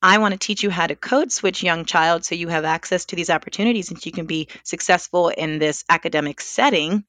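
A woman who is a speech language pathologist, talking thoughtfully and with lively emphasis in a podcast discussion. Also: fairly low pitch, a little fast, dry recording.

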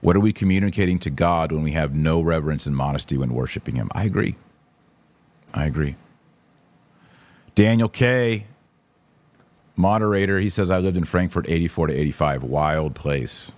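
Man, deep voice, podcast host, casual commentary, slangy, emphatic. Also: normal speed, pauses often, darkish tone, dry recording. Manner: informal, opinionated, emphatic, slangy. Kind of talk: casual livestream commentary, answering viewer comments.

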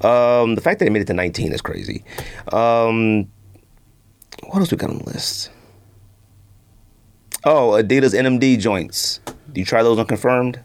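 A man's voice: mid-range voice, expressive, normal speed, pauses often. A man hosting a sneaker podcast in casual, unscripted chat, with a slangy, conversational way of talking.

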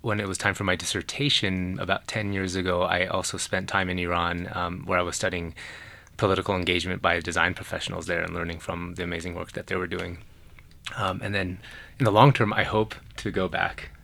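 A man from the United States speaking as an interview guest in a relaxed, matter-of-fact way. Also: low voice, a little fast, gravelly.

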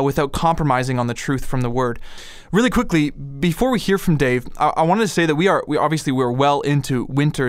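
A man's voice: a little high, clear, resonant tone, no room sound, studio quality. A man hosting a podcast, introducing the next talk and making a casual, upbeat donation appeal. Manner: casual, upbeat, filler-heavy. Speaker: podcast host.

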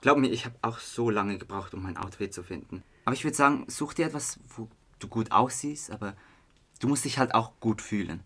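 A man's voice: fairly low pitch, fairly quick, a bit bright, slightly rough. A man with a Swiss German accent playing a friendly, slightly awkward teenage boy in a youth radio play. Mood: casual, encouraging. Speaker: actor in an audio drama.

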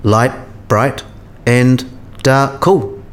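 A man's voice: mid-range voice, fairly even intonation, very slow. A man playing a patient, scholarly professor in a radio play, explaining things in a didactic way.

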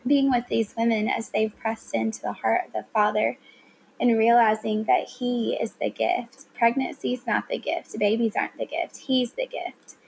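A woman's voice: on the high side, little pitch movement, moderate pace, creaky, a little mellow, slight background noise, rough recording. A woman in her thirties with a Southern accent, telling a personal story earnestly in a conversation. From South Carolina. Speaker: guest. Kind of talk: casual conversation.

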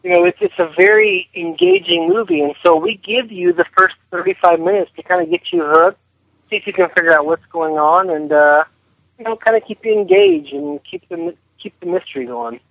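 A man's voice: sits high, sing-song, moderate pace, vocal fry, no room sound. A man being interviewed as a film director, answering conversationally over a telephone line. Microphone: phone.

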